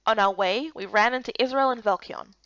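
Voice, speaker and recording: Female narrator for visual novel story, fairly high and lively, dry and slightly dull recording. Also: brisk, dry recording. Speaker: narrator and lead character reader.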